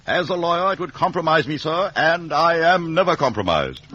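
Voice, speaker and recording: An actor playing a stern, domineering Victorian lawyer in an old radio drama, brusque and precise. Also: male voice, on the high side, expressive, normal speed, very noisy, close to an everyday voice. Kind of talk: 1950 radio drama acting. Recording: muffled vintage radio broadcast recording.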